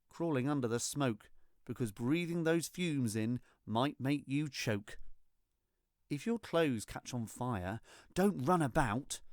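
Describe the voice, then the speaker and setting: A storyteller reading a rhyming children's storybook in an expressive, theatrical and deliberate way. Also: medium pitch, no room sound, clean studio sound. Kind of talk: scripted storybook narration in rhyme.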